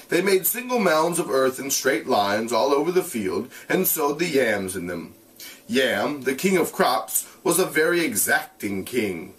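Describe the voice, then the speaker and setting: A man's voice: mid-range voice, distant mic, poor audio. A man who sounds like a teacher, reading a novel aloud and explaining it in plain, casual terms. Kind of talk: read-aloud narration with casual explanatory asides.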